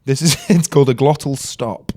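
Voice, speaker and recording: A man's voice: medium pitch, moderate pace, warm tone, a little hiss or hum. A young man from the north of England with a Yorkshire accent, a podcast guest telling joking, self-deprecating stories. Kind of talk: casual podcast chat.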